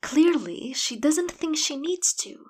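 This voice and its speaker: An actress playing a jaded, dryly sardonic veteran agent, husky and clipped, in audio drama dialogue. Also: female voice, high-pitched, takes it slowly. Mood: grim, dry. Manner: dry, clipped, deadpan.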